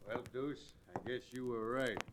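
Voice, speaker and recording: An American outlaw henchman played by a man in a vintage radio Western. His voice sits at an ordinary middle pitch as he talks like a villain's sidekick. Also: unhurried, heavy background noise, natural voice. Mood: conspiratorial. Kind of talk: old-time radio Western acting.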